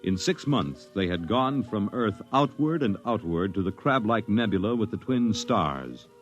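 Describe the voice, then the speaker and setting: Low, portentous American radio announcer over music. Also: male voice, animated, lo-fi sound. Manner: portentous, measured, declamatory. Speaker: announcer and narrator. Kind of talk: dramatic scripted 1950s radio narration. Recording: vintage radio recording over dramatic music. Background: loud dramatic music.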